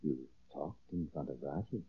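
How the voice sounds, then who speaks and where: An actor playing a cold, calculating crime boss in 1940s radio style, with an American accent and a measured, understated menace. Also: male voice, extremely low pitch, lively intonation, breathy edge, full character voice. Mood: cool, probing. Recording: tinny, muffled old radio recording.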